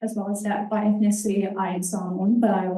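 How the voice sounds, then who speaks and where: Young New woman, earnest halting presenter, dark mellow tone, heard from afar in a roomy hall. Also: mid-range voice, little pitch movement. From New Zealand. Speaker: intern presenter. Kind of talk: conference presentation. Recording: roomy hall, distant mic.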